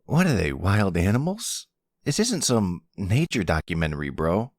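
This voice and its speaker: A man's voice: sits low, sing-song, moderate pace, no echo, clean studio sound. A man playing a cheeky, teasing young son of a mafia boss in an audio roleplay. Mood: mocking.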